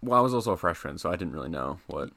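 A man's voice: low voice, very animated, racing, gravelly, sharp tone. A young man co-hosting a casual sports podcast, easygoing and self-deprecating. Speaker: podcast co-host.

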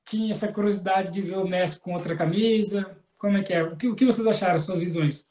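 A man hosting a podcast, chatting in a relaxed, slangy way and laughing easily. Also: high voice, quick pace, echoey room. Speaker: podcast host. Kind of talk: casual interview chat.

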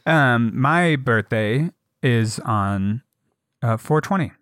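A young man co-hosting a podcast, telling stories animatedly, with lots of fillers and swearing, and jumping up high to act out quotes. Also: expressive, slow pace, fairly bright tone, clean tone, no echo, studio quality. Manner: swears freely, many fillers. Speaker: podcast co-host. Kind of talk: casual storytelling chat.

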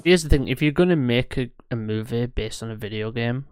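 Smooth-voiced man rambling eagerly. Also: medium pitch, brisk, no room sound, clean studio sound. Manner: eager, rambling, corrects himself mid-sentence. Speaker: podcast co-host. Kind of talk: casual podcast chat.

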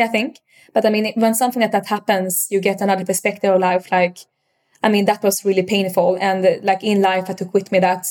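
A woman's voice: mid-range voice, darkish tone. A young woman from Sweden with a Swedish accent, a startup founder answering interview questions, hesitant, with many fillers and restarts.

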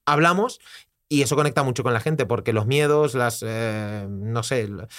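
A man from Argentina with a mild, mixed Argentine accent, co-hosting a podcast in a relaxed, reflective casual chat. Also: mid-range voice, normal speed. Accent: mild Argentine Spanish, mixed. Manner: relaxed, reflective, uses vos. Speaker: podcast co-host. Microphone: studio microphone.

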